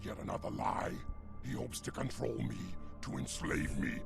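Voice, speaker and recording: A man voicing a bitter, distrustful gargoyle, a freed slave in a video game, with a growling, gravelly voice and archaic, menacing speech. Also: mid-range voice, animated, normal speed. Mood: suspicious, enraged. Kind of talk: dramatic video game voice acting. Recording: music playing behind. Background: music.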